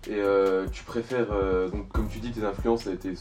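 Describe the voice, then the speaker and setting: A man's voice: fairly low pitch, steady tone, normal speed, darkish tone, soft background music. A man hosting an interview, asking questions in an informal, friendly way. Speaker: interviewer host.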